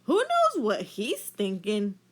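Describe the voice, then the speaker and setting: A woman's voice: medium pitch, wildly swooping pitch, slow, exaggerated voice. A woman voicing an irritable, suspicious male storybook fish who exclaims and accuses in alarm.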